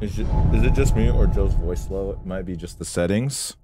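A man's voice: deep voice, moderate pace. A young man streaming a game live from his room, chatting casually with viewers and cursing when frustrated. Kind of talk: casual live gameplay commentary. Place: room at home, indoors. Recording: game music loud behind the voice. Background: loud game music.

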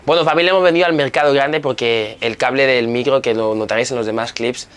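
A man's voice: mid-range voice, fast, fairly bright tone, clear, resonant tone. Young male travel vlogger, upbeat to-camera chat, music bed under his voice. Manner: chatty, upbeat, addresses viewers as family. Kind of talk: casual travel vlog narration to camera. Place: outdoors at a beach resort and a busy market.

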